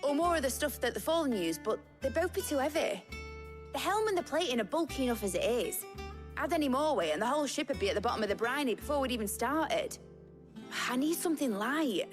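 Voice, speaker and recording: A young British engineer in a fantasy game, brash and keen. Her voice sits fairly high and swings in lively leaps. She talks briskly and colloquially, with loud music playing behind her. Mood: businesslike, stumped. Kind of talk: video game voice acting.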